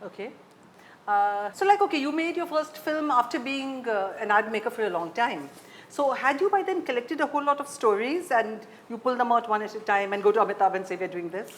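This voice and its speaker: A woman's voice: fairly high pitch, lively intonation, fairly quick. A woman, an interviewer putting questions in a conversational way. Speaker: interviewer, journalist. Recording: faint background noise.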